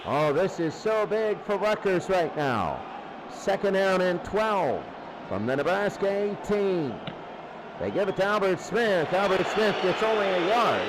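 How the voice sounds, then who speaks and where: High-pitched man calling American football. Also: noisy background. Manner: repeats down and distance in short bursts. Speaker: American football play-by-play announcer. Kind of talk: live sports radio commentary.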